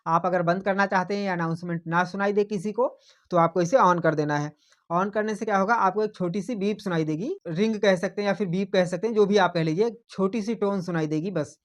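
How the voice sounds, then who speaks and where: A man hosting a tech tutorial. He walks patiently through phone settings step by step, repeats his points and keeps pointing things out. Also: high-pitched.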